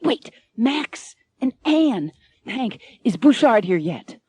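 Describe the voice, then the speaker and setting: A woman's voice: fairly high pitch, sing-song, warm tone, husky, dry recording, voice pushed up, heavily acted. A woman reads as a frazzled young newsroom writer, a man who talks in a flustered rush. The sound is close and dry. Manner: flustered, hurried.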